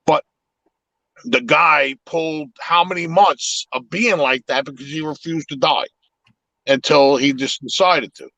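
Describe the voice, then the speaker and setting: A man's voice: mid-range voice, fairly bright tone, dry recording, muffled recording. A man whose first language is Italian, talking in a casual, reflective way on a live podcast, with many restarts. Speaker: podcast host.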